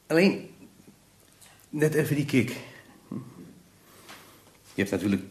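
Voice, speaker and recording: Recovering drinker, male, Netherlands Dutch, medium pitch, hesitant and repetitive, earnest confession for radio play. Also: lively intonation, a little mellow. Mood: wry, hesitant. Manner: halting, hesitant, repeating words. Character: recovering alcoholic man confessing, ashamed and earnest. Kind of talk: radio drama monologue.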